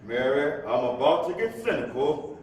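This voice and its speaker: An actor playing an earnest, restless young bachelor in a staged play reading, with a pleading, theatrical manner. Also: male voice, big pitch swings, normal speed. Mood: impatient, earnest. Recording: echoey hall from far off, faint rumble.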